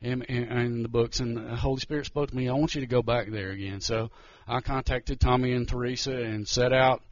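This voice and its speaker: A man's voice: mid-range voice, fairly even intonation, dull audio. Nervous man giving testimony. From Georgia, US. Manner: nervous, halting, many restarts. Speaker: missionary. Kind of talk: church testimony talk.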